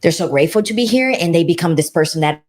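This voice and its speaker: A woman co-hosting a podcast, with a Brazilian Portuguese accent. Her voice sits fairly low for a woman, but she speaks with earnest emphasis and often leaps higher on the words she stresses. The talk is relaxed and conversational. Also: fast. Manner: earnest and emphatic, swinging high in pitch. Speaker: co-host. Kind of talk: casual conversation.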